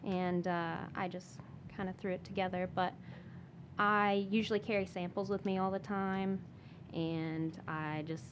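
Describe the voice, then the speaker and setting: A woman, a guest on a podcast, talking casually and softly with many fillers and restarts. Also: fairly low pitch, little pitch movement, unhurried, background noise. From Michigan. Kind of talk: casual interview talk.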